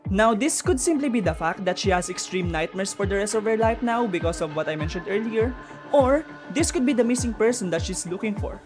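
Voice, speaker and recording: A woman's voice: medium pitch, expressive, fairly quick. A woman giving an informal explainer commentary, chatty and speculative, talking straight to viewers. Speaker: video narrator explaining game lore. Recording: music under the voice. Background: background music.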